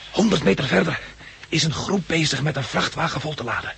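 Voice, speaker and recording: An actor with a Dutch accent from the Netherlands playing a level-headed captive who guides blind survivors and narrates a 1960s radio drama, earnest and reasoning. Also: male voice, fairly high pitch, animated, fairly quick, gravelly, fairly bright tone, husky, heavily acted. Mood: urgent. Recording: noisy old 1960s radio recording.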